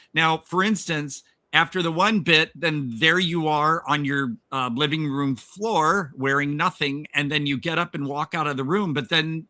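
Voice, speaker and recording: A man's voice: fairly high pitch, sharp tone, no room sound. A man hosting a casual film podcast, enthusiastic about the movie but hesitant and full of fillers as he builds his points. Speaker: podcast host.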